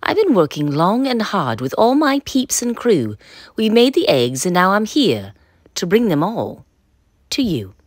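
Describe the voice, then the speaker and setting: Female storyteller for kids' picture book: lively melodic range, slightly breathy texture, dry close sound, sing-song rhyme delivery. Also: mid-range voice, unhurried, no room sound. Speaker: storyteller reading a children's picture book aloud. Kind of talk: expressive read-aloud narration.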